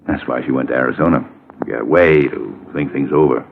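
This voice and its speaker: An actor playing a middle-aged lawyer in a vintage radio drama, glib and brisk, with a folksy, evasive way of talking. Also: male voice, a bass voice, vocal fry. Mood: amorous. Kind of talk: 1950s radio drama acting. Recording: muffled, hissy vintage radio recording.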